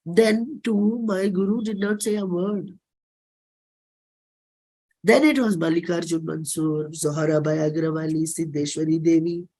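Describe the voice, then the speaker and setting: A performer with an Indian accent giving a dramatic reading of a personal play script, deliberate, with pauses and repeated phrases. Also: medium pitch, a little mellow, low-quality recording. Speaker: performer and singer.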